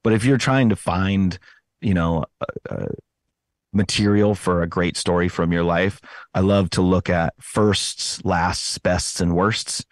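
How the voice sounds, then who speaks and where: A man giving casual, conversational interview answers, often pausing and correcting himself as he finds his words. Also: sits low, fairly even intonation, no room sound. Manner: hesitant phrasing, self-correcting, chatty. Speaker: podcast host appearing as interview guest.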